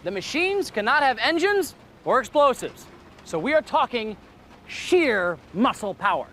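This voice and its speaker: A man's voice: extremely high pitch, big pitch swings, unhurried, pushed and bright, slight background noise. A man hosting a competition show in a jokey, folksy, exaggerated way. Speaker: show host. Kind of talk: playful hosting.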